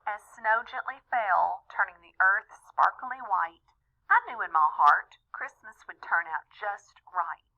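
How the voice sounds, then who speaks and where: A woman reading a rhyming children's story aloud in an expressive, deliberate way, as a storyteller talking to young listeners. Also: medium pitch, muffled recording. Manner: animated, deliberate, with small asides to young listeners.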